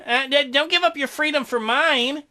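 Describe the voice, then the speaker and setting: An earnest, devoted young merman, pleading and tender, played by a man; the voice runs high and bright for a man. It keeps a heartfelt, urgent tone. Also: moderate pace, heavily acted.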